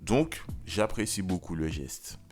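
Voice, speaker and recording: A man's voice: low voice, very animated, slow, music in the background. A man giving casual, conversational video commentary as a review host, opinionated and slangy in his wording.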